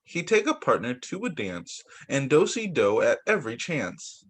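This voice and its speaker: Husky young man reading to kids. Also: medium pitch, normal speed. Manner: sing-song, expressive storybook reading with rhymes. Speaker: preschool teacher reading picture books. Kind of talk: read-aloud story time for young children. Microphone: video call.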